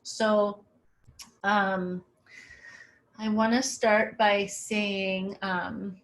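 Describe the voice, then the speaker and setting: Casting note: middle-aged woman presenting over slides, relaxed and conversational, mid-range pitch. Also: very slow. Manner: casual, conversational, many "um" fillers. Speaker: webinar presenter.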